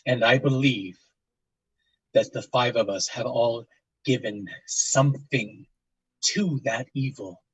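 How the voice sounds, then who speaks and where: An actor playing a grave investigator, speaking formally and deliberately as he questions and narrates. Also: mid-range voice, a little hiss or hum, close to an everyday voice, rough recording. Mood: solemn. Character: grave, measured male investigator recording testimony of tragedy. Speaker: interviewer and narrator. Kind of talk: solemn dramatic monologue and questioning.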